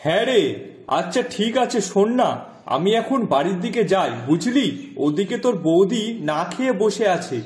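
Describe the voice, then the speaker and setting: Man acting high-voiced, mild village farmer. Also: expressive, normal speed, darkish tone, echoey room. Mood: curious, agreeable. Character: mild henpecked village farmer, husband and father. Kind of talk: Bengali moral-story cartoon voice acting.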